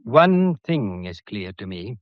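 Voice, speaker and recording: Theatrical, probing police inspector, animated delivery. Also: male voice, on the low side, no room sound, dull audio. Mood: probing, satisfied. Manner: deliberate, pedantic, wryly courteous. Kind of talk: detective radio drama acting.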